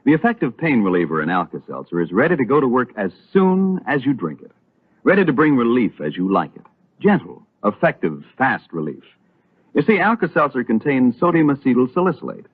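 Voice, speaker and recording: A man's voice: mid-range voice, slightly rough. An American man announcing a scripted sponsor message on old radio, polished, persuasive and emphatic. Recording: muffled, tinny old radio broadcast.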